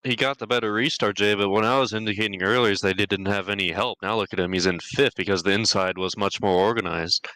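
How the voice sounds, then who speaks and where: A man's voice: lowish voice, steady tone, quick pace, sharp tone, no room sound, low-quality recording. A man doing analytical color commentary on a sim race, explaining the moves. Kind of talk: sim racing broadcast commentary.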